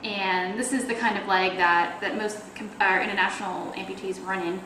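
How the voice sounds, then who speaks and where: A woman's voice: mid-range voice, steady tone, moderate pace, reverberant, a little hiss or hum, rough recording. A young woman with a Canadian accent, chatting casually in a video blog and explaining things with plenty of fillers. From Toronto, Canada. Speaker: athlete video blogger.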